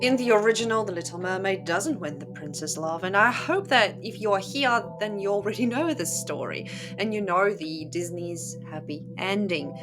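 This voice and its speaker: Sardonic woman storyteller over clear background music. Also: medium pitch, lively intonation, normal speed. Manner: sardonic, conversational. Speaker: host of a book channel. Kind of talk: casual opinionated storytelling commentary to viewers. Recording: music playing behind the voice. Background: instrumental music.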